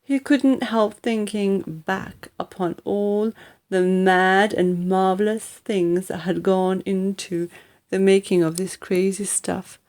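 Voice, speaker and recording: Slow, dramatic woman storyteller. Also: mid-range voice, steady tone, a little mellow. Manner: deliberate, dramatic. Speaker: audiobook reader. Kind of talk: expressive scripted storybook narration.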